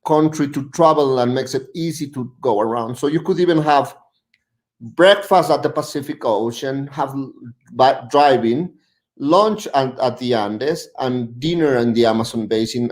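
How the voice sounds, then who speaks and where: Halting man. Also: mid-range voice, animated, darkish tone. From Ecuador. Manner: halting, searching for words. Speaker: guest, tourism representative. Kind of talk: presentation on a video call.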